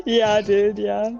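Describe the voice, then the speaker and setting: A woman chats casually during a tabletop game, taking her time with her words. Her pitch moves around in a lively way. The recording is slightly dull, with faint music underneath. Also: vocal fry. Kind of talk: casual chat.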